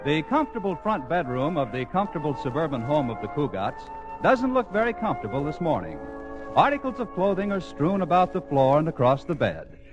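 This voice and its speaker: A man's voice: on the high side, sing-song, brisk. A man delivering scripted narration as the announcer of a 1940s radio sitcom. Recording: muffled old radio, music and audience.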